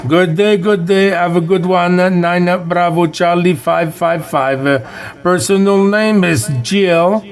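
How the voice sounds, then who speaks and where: A man's voice: high voice, steady tone, takes it slowly. A man with a Quebec French accent making casual CB radio contact calls, often repeating greetings and call phrases. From Quebec, Canada. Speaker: CB radio operator. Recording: noisy CB radio session.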